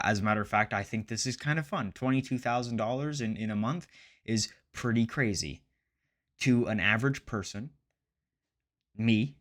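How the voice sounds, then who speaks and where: A man in his late twenties talking casually and a bit haltingly as a personal finance vlogger, often rephrasing himself as he goes. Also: fairly low pitch, fairly bright tone. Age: late 20s. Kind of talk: casual chat to camera about investing.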